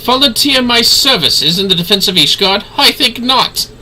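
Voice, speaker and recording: A man voicing a disdainful, high-flown adventurer from a fantasy video game in a formal, theatrical manner. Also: animated, normal speed, bright, forceful tone, a little hiss or hum, full character voice, poor audio. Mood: haughty, bitter.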